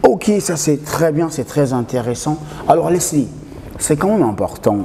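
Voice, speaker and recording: A man's voice: a little high, expressive, normal speed, gravelly, mellow, slight background noise. A man hosting a television talk show, interviewing in a conversational way. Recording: reverberant hall sound.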